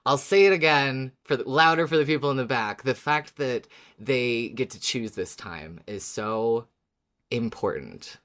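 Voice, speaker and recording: A woman's voice: very deep voice, unhurried, fairly bright tone. A woman co-hosting a podcast, talking casually as a fan and agreeing effusively. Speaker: podcast co-host.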